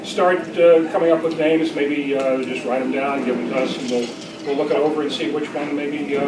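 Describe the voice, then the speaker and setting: Heard from far off in an echoing room thick with noise, a man with a slightly high voice runs an informal club meeting. He rambles hesitantly through his announcements. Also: fairly even intonation, a little fast. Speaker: club officer chairing a luncheon meeting. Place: large indoor luncheon room. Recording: distant mic, echoey noisy room.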